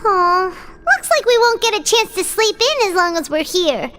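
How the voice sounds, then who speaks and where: A woman voicing a small, childlike fairy companion in a video game, squeaky, inquisitive, chatty and a little timid. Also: very animated, moderate pace, heavy background noise. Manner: inquisitive, refers to self in third person. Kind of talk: video game character acting. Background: music.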